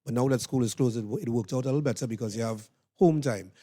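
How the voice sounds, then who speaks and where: A Trinidadian man hosts a live broadcast interview at a quick clip. His voice is mellow and encouraging, recorded dry. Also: medium pitch, no echo. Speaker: interviewer, event host.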